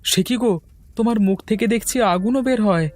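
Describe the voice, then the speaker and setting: A woman's voice: a little high, fast, darkish tone, natural voice. A woman voicing a curious, brooding village boy in a fairy tale cartoon. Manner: questioning, wondering. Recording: music under the voice.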